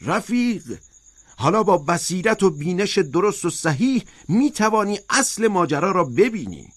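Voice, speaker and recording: A man's voice: on the high side, fairly bright tone, pitched above the performer's own voice. A man voicing a sly, fervent propagandist pig in a storybook, speaking in an emphatic, insistent, oratorical way. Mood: emphatic, triumphant. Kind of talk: audiobook character voice.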